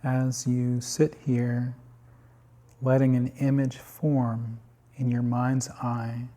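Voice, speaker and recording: Slow, husky, mellow man guiding meditation. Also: medium pitch. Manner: calm, unhurried instruction in short phrases with long pauses. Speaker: meditation guide. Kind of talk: guided body scan meditation.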